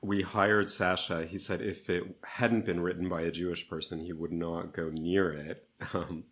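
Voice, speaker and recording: A man interviewed as a theatre artist, talking reflectively in a measured, slightly hesitant way. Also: low-pitched, flat delivery, fairly bright tone.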